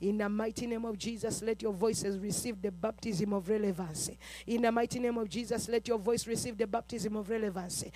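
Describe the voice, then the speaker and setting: A man's voice: high-pitched, fairly even intonation, fairly quick, mellow. A man leading a fervent chanted prayer with rhythmic, repetitive declarations full of "uh", breaking into tongues between them. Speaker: preacher.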